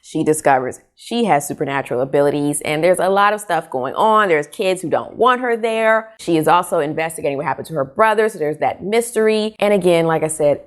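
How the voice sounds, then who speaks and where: A woman chatting casually to camera as a book reviewer, expressive and emphatic in a conversational way. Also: sits low, fairly quick, hardly any pauses. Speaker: book vlogger, host. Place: small furnished living room, indoors.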